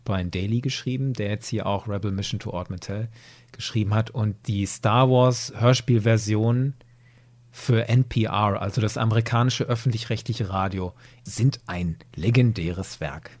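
A man's voice: on the low side, moderate pace, darkish tone, no echo. A man hosting a podcast, giving his opinions analytically in a conversational review discussion. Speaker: podcast host.

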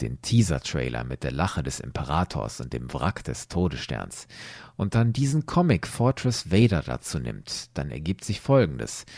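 Deep-voiced male podcast narrator, lively melody. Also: dry recording, clean studio sound. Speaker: podcast host and storyteller. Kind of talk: scripted explanatory narration with casual asides.

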